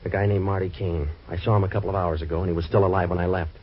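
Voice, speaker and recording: An American actor playing a tough, wisecracking waterfront troubleshooter in a 1940s radio noir, with clipped deadpan delivery. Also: male voice, low-pitched, racing, slightly rough. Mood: dry, pressing. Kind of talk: 1940s radio noir acting and first-person narration. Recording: dull old radio recording, faint music.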